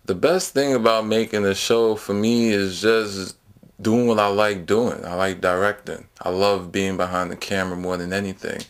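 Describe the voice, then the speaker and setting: Deep, fairly even-toned male director. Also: moderate pace. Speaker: director and writer. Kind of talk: casual behind-the-scenes interview.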